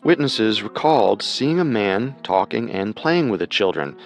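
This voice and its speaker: A man co-hosting and narrating a scripted true-crime show in a measured, dramatic storytelling manner. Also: on the low side, sing-song, soft background music. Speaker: podcast co-host and narrator.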